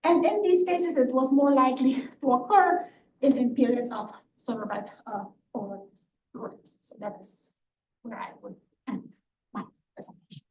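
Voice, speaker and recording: A woman's voice: high-pitched, normal speed, darkish tone. A woman professor giving an academic lecture and answering questions, often interrupting herself and checking in with "right". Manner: self-interrupting, emphatic explaining with frequent checking "right". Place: lecture room. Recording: echoey room, distant mic, background noise.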